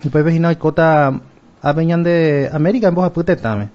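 A man's voice: a little high, normal speed, dull audio. Paraguayan man reading football scores. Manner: reads out match results, mixing Guarani into Spanish. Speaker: radio sports presenter. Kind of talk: radio news chat.

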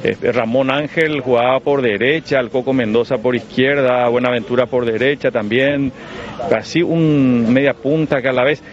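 A man reporting live from outside a stadium, lively and calling out to the panel. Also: medium pitch, normal speed. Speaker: field reporter. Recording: muffled outdoor audio, faint noise.

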